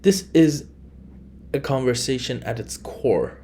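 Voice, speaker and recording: Slow-talking Iranian man hosting a podcast. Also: mid-range voice, expressive, darkish tone, a little hiss or hum. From Iran. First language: Farsi. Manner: unhurried, with frequent restarts and self-corrections. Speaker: podcast host. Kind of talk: solo podcast monologue.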